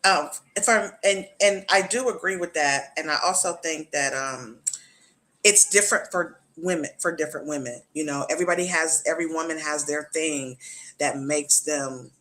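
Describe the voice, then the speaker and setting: A woman's voice: low voice, normal speed, a bit bright, low-quality recording. A middle-aged woman hosting a talk show, speaking in an earnest, repetitive way and often checking in with the listener. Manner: earnest, repetitive, often asks "you know what I'm saying". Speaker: host. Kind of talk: casual talk show chat.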